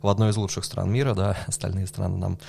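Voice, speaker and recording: A man with a low voice and a gravelly edge gives long, thoughtful answers in a casual podcast chat. He hesitates often and fills pauses, sometimes letting out a quiet laugh. A faint hum sits under the recording. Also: flat delivery. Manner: thoughtful, hesitant with many fillers, laughs softly. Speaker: podcast guest, coffee judge. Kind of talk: relaxed conversational interview.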